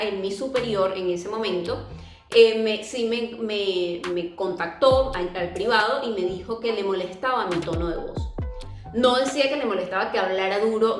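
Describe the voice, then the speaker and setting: A young woman with a Venezuelan accent talks earnestly to her vlog audience, often pausing with fillers and restarting her sentences. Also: mid-range voice. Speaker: YouTuber. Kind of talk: casual vlog talk to camera. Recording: echoey room with music behind.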